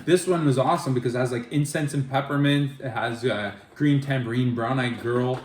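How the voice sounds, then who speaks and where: A man's voice: medium pitch, brisk. A man in his forties from Canada, reminiscing in a rambling, casual way as co-host of a home video chat. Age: 40s. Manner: rambling, reminiscing, restarts often. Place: family home or garage. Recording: echoey room with faint noise.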